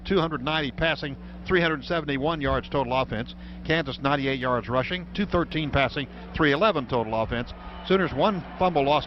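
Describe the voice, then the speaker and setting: An American man calling football play-by-play on the radio in clipped running descriptions that get excited on big plays. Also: on the high side. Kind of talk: live American football commentary. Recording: dull, noisy radio broadcast from stadium.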